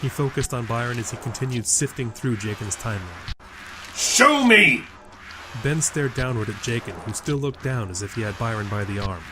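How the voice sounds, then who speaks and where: A man's voice: medium pitch, brisk, crowd noise. A man reading a scripted fantasy story as a dramatic storyteller.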